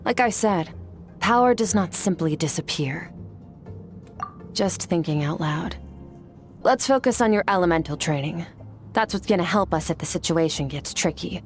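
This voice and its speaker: An actress playing a cool, aloof female swordmaster who trains her student in a calm, measured, matter-of-fact way in a game. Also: lowish voice, faint music behind, natural voice. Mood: composed. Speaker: combat trainer.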